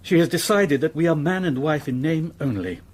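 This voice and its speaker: An actor playing a courteous, worried husband in a radio drama, formal and earnest, with a husky voice. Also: male voice, fairly high pitch, animated, a little fast. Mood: anxious.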